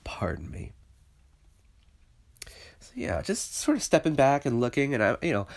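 A man's voice: mid-range voice, wildly swooping pitch, a little slow, gravelly. A man with a stuffy, congested voice from a cold, talking through his digital painting process in a loose, thinking-aloud tutorial voice-over. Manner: thinking aloud, loose and conversational with many fillers. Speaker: illustrator teaching digital painting.

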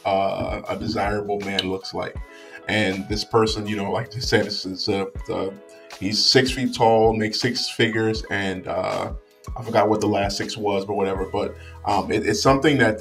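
A man in his thirties comments to camera from a small room on a studio mic. His voice sits low and stays even, and he thinks aloud with frequent fillers and restarts. He is a little off the mic, with soft guitar music beneath. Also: distant mic. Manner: thoughtful, many fillers and restarts. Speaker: vlogger. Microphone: studio mic on boom arm.

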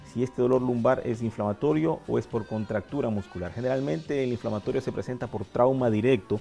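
A man in his forties, a doctor guest on a TV health show, explaining treatments in a steady, methodical way with a lapel mic in a studio. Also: mid-range voice, fairly quick, vocal fry, faint music behind. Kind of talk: medical explanation.

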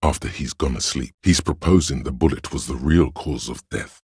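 Detective's inner voice of logic, video game narration, heavily rasping, dry close sound, deliberate, analytical. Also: male voice, rumbling low, darkish tone, no echo, exaggerated voice. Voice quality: gravelly, husky. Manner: measured, deliberate, coolly reasoning. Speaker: game voice actor.

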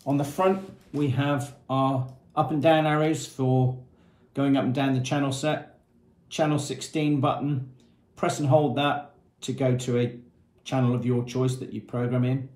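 A man's voice: mid-range voice, flat delivery, unhurried, a little mellow. Man presenting in an echoey room. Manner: plain, methodical explaining in short phrases. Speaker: product presenter for a marine equipment retailer. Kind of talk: informal product walkthrough. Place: small indoor room with painted block walls, seated at a table.

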